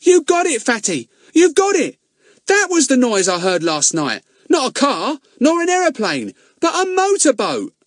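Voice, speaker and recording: A man voicing an excitable, eager cockney boy in an audiobook. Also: sits high, moderate pace, slightly rough, dry recording, clean studio sound, pitched above the performer's own voice, full character voice. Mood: thrilled. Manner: exclaiming, breathless enthusiasm.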